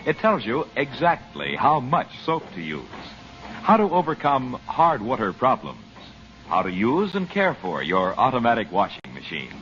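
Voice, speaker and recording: A man's voice: medium pitch, huge pitch swings, moderate pace, fairly bright tone. A man announcing a sponsor's offer in scripted 1940s radio style. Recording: muffled old radio recording.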